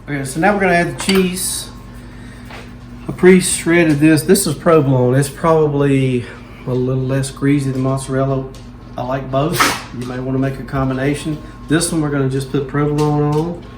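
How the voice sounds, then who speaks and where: A man gives relaxed, folksy cooking instructions in a mid-range voice. He sounds like a home cooking host. A clear layer of background noise sits behind his voice. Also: medium pitch, moderate pace.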